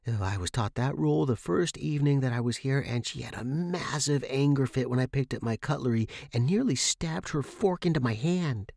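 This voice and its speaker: A man voicing a chatty, nervous young woman in a story, with an excitable, squeaky manner. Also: normal speed, vocal fry, hardly any pauses, no room sound, clean studio sound. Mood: anxious warning.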